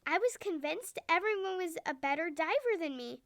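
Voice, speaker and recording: A woman plays a friendly eel from a children's storybook. The voice is very high and bright, kindly and confiding in its encouragement. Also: lively intonation, moderate pace, no room sound, studio quality, heavily acted. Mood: reassuring. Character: cheerful, friendly, reassuring eel swimmer.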